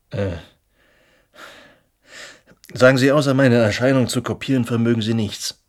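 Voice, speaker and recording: An actor playing an ailing, haunted writer in a radio play, halting and hesitant in a husky, breathy voice. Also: male voice, medium pitch, close to an everyday voice. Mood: mocking. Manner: halting, hesitant, breaking into soft laughs. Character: ailing, haunted male writer, fearful yet fervent.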